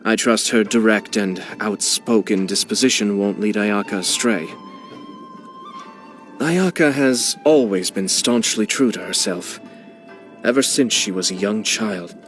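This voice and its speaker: A poised young clan head from a video game, speaking in a slightly low, measured tone. He is unfailingly polite, with a sly, teasing edge beneath the courtesy. Faint music plays softly behind him. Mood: composed. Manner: polished, courteous, dryly amused. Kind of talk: video game character voicelines.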